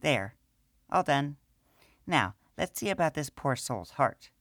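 A man putting on a middle-aged female gnome priestess, raised pitch, brisk and scolding, storybook voice. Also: sing-song, fairly bright tone, no echo, clean studio sound, heavily acted. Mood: matter-of-fact.

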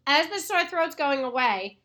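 A woman co-hosting a podcast, hoarse from a cold, chatting loosely with lots of fillers. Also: high voice, very animated, normal speed, bright, forceful tone. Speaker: podcast co-host. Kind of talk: casual chat.

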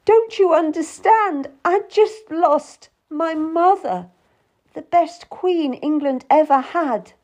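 Distressed woman pleading her case acted by a female reader, raised pitch, emotional swings, audiobook drama. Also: sing-song, measured pace. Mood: grieving.

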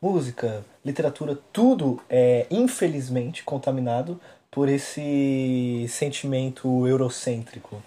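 Male podcast co-host, mellow dark tone, measured and thoughtful. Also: medium pitch. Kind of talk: casual chat.

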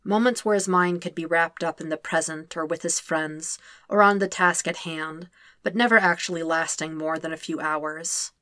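Woman reading a story out loud, lowish voice, quiet and measured, dry close sound. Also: dry recording. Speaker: fanfiction reader. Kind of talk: scripted narration of a podfic.

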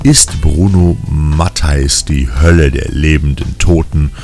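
A man's voice: rumbling low, takes it slowly, a little mellow. A man hosting a film news podcast, reading scripted previews of new releases. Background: faint music.

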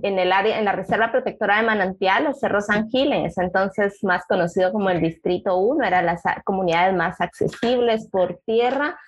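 Unhurried Guatemalan woman telling a story. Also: mid-range voice, breathy edge. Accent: Guatemalan Spanish. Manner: unhurried, many fillers. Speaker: guest. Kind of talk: storytelling in a panel talk.

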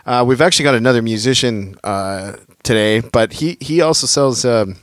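A man hosting a podcast, introducing a guest in a loose, halting way with many ums and restarts. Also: mid-range voice, no echo, clean studio sound. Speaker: podcast host. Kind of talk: casual podcast chat.

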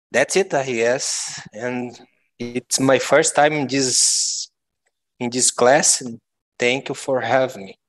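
A man in his forties from Brazil with a Brazilian accent, introducing himself deliberately on a video call. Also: medium pitch, a bit bright. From Brasília, Brazil. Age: 40s. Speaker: participant. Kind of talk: casual online English practice chat.

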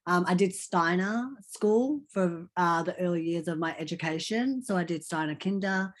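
A man's voice: high-pitched, normal speed, fairly bright tone. A young man, a podcast guest chatting over a video call and telling his life story, with lots of ums and restarts. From Australia. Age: 20s. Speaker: podcast guest, content creator. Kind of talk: casual storytelling interview.

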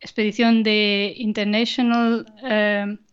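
A woman's voice: mid-range voice, little pitch movement, slow pace, clear, resonant tone, dull audio. A woman scientist being interviewed on a podcast over a video call, speaking thoughtfully with frequent fillers and restarts. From Argentina. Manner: thoughtful, many fillers and restarts, light laughs. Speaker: guest scientist. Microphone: remote video call.

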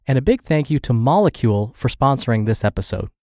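A man hosting a podcast, reading in a measured, explanatory narration with a slightly congested, scratchy voice from a cold. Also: medium pitch, animated, a little mellow, no echo, clean studio sound. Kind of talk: scripted podcast narration, reading an article aloud.

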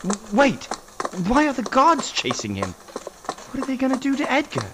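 A woman's voice: high-pitched, wildly swooping pitch, moderate pace. A woman playing a loyal, frantic teenage little brother in a fantasy audio drama, urgent and stammering. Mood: frantic confusion. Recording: very noisy, clicky recording.

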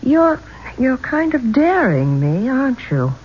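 A curious, spirited woman in a 1970s radio drama. Her voice swings widely in pitch, with a wry, questioning edge. The recording is dull and faintly noisy. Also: big pitch swings, darkish tone. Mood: daring, curious. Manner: wry, teasing, quick to question. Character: spirited, curious female singer seeking adventure.

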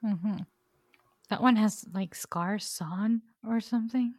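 A woman's voice: medium pitch, takes it slowly, darkish tone, no echo, clean studio sound. A woman co-hosting a casual podcast, chatty and laughing often. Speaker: podcast co-host.

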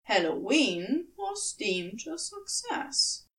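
A woman reading scripted fiction as an audiobook narrator, with a measured, storybook-like delivery. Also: high-pitched, big pitch swings, a bit bright, far from the mic. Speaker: fan fiction audiobook reader.

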